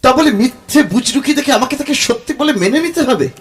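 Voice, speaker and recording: A sarcastic, combative doubter in an audio drama. His voice sits high and swings widely, with scornful, theatrical force. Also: expressive, brisk. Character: angry, sarcastic male skeptic challenging a spirit medium.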